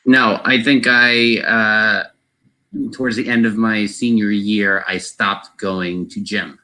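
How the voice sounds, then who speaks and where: A man's voice: fairly low pitch, flat delivery, slow, pushed and bright. A man on a podcast panel telling a short story in a hesitant, laid-back way. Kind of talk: casual chat.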